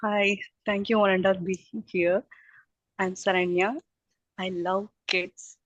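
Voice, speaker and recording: A middle-aged woman with an Indian accent introduces herself informally, rambling and effusive in halting English. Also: mid-range voice, level tone, no echo. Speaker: childcare teacher introducing herself. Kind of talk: informal self-introduction at a group meeting. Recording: dull, muffled audio.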